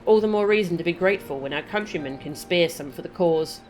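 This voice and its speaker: A woman's voice: on the low side, quick pace, a little mellow, soft background music, close to an everyday voice. A woman voicing a weary, grateful male resistance soldier from a game in a plain-spoken, earnest way. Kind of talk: reading game dialogue in character.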